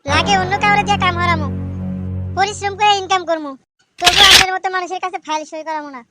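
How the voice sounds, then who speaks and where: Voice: very high-pitched, steady tone, fast. A performer voicing a blunt, principled cartoon man who argues against bribery, with a Bangladeshi regional accent. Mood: defiant. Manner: blunt, argumentative. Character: plain-spoken, principled man refusing to pay bribes. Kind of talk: comic cartoon voice acting. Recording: loud music behind the voice. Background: loud music.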